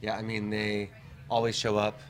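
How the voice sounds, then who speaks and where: A host running a casual interview in a relaxed way, with frequent "yeah" and "I love it". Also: medium pitch, normal speed, soft background music.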